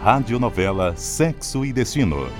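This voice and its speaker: A man's voice: medium pitch, very animated, moderate pace, music in the background. A man reading scripted radio drama credits as a formal, declamatory announcer.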